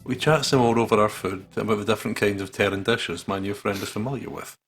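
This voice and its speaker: A man's voice: lowish voice, fast talker, a bit bright. A Scottish man co-hosting a gaming video with casual gameplay commentary. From Scotland. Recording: faint music behind.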